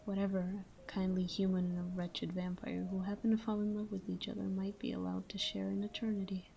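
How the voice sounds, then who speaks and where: A woman playing a tender, melancholy vampire lover in an intimate roleplay monologue, murmuring slowly and slipping into whispers. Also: mid-range voice, flat delivery, vocal fry, mellow, highs cut off, natural voice. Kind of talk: intimate ASMR-style audio roleplay monologue.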